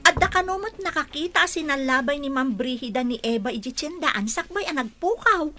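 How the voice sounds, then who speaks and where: A woman's voice: high voice, animated, brisk, pushed and bright, faint music behind. A woman playing a deferential, gossipy housemaid in an Ilocano radio comedy drama, with an Ilocano accent.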